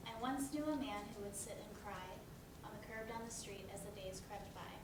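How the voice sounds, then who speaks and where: A woman's voice: mid-range voice, fairly quick, close to an everyday voice. A woman acting a grieving, desperate and determined young woman in a dramatic play reading. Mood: wistful. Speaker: actor in a stage play reading. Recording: echoey, noisy room, far from mic.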